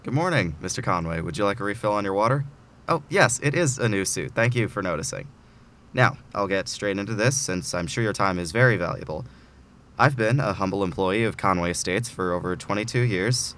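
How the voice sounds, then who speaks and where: A man's voice: deep voice, a bit bright, slight background noise. A man playing an anxious, eager middle-aged file clerk in an audio drama, nervous and earnest. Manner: nervous, earnest, self-coaching. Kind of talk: audio drama acting, talking to himself.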